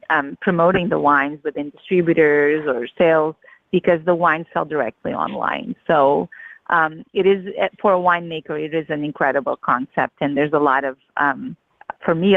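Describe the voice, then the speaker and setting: A woman's voice: sits low, gravelly, dry recording. Woman on a phone line. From Portugal. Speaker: guest winemaker. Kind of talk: interview answers.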